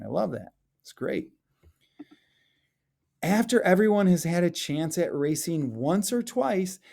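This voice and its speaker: Male teacher, picture book read-aloud, unhurried pace, soft-edged mellow tone, expressive phrasing, questions to young listeners. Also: on the high side, gravelly. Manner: questions and chats to children, explaining illustrations. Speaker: teacher reading aloud to pupils.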